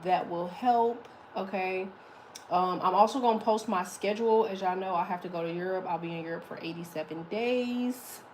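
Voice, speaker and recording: A woman with a deep voice giving a casual, chatty tarot reading, swearing freely as she talks. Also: normal speed, a little mellow, a little hiss or hum. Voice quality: deep, slightly muffled voice. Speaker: tarot reader.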